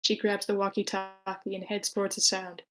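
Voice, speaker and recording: A woman's voice: medium pitch, completely flat delivery, normal speed. A woman reading stage directions in a matter-of-fact way at a screenplay table read.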